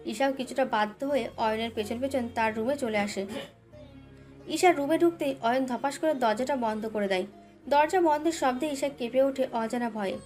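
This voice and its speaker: A woman's voice: sits high, moderate pace, a little mellow, soft background music. A woman narrating a scripted dramatic love story as its storyteller.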